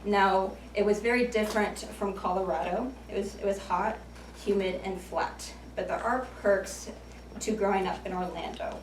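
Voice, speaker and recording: A woman's voice: medium pitch, fairly even intonation, moderate pace, a little hiss or hum. Young woman in her twenties giving a jokey self-deprecating club speech, roomy echo, mic far off. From United States. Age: 20s. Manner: self-deprecating, light joking asides. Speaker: icebreaker speaker at a speaking club. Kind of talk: prepared humorous speech. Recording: echoey room from far off.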